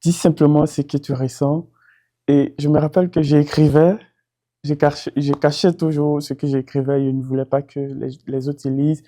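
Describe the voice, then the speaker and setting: A man's voice: fairly high pitch, level tone, moderate pace, mellow. A man from Côte d'Ivoire, a former doctor now studying, giving a personal testimony with hesitant speech and frequent restarts.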